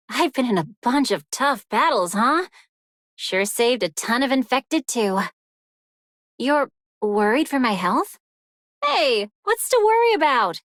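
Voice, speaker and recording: A sturdy, upbeat girl fighter for a game. Her high voice bounces around with casual confidence. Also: fairly bright tone, no room sound, studio quality, exaggerated voice. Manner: casual, breezy. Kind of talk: video game character voice lines.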